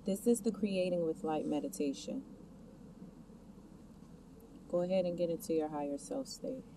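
A woman leading a scripted guided meditation, giving the listener soft step-by-step instructions and questions. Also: moderate pace. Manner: instructional, addressing the listener with gentle imperatives and questions. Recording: distant mic, clear background noise, ambient rumble.

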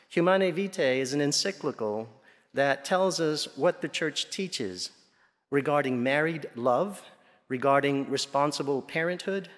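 A middle-aged man delivers a homily from the pulpit of a large church. His voice sits a little high for a man, and he speaks deliberately, pausing between phrases. The sound carries a long hall reverb. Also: a bit bright. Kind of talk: Sunday homily. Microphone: pulpit gooseneck and lapel microphone.

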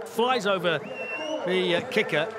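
A man giving lively, wry live commentary on a race. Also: high-pitched, unhurried, a loud crowd. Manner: lively, wry, dry humour. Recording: music bed under commentary.

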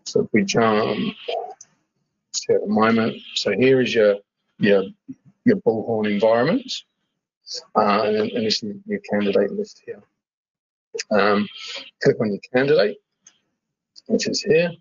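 A man giving an informal software walkthrough as a webinar presenter, hesitating and repeating words as he explains. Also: on the low side, animated, measured pace, creaky, pauses often, husky, dry recording, muffled recording. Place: screen-share webinar voice-over.

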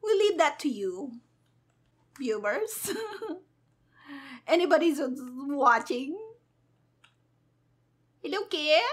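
A woman doing casual, playful gameplay commentary as a streamer, with a giggly, animated, sassy manner. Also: high-pitched, very slow, pauses often. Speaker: gaming streamer.

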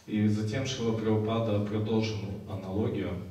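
A man's voice: fairly low pitch, flat delivery, normal speed, warm tone, far from the mic. A young man working as an interpreter, speaking after each phrase with hesitant fillers, in a large festival tent. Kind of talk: consecutive interpreting. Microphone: stand mic. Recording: echoey hall, heard from afar.

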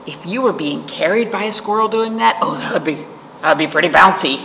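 A middle-aged woman telling a children's story as a storytime host, animated and expressive, with asides to her listeners. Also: fairly low pitch, a little fast, vocal fry. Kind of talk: expressive read-aloud storytelling for young children. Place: indoor library storytime room. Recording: roomy reverb with faint room noise.

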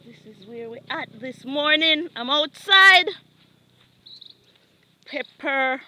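Bright high Jamaican woman vlogging outdoors. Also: slow pace. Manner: lively, exclaiming, repeats catchphrases. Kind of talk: casual farm tour chat. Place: outdoors on a farm. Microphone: handheld phone camera.

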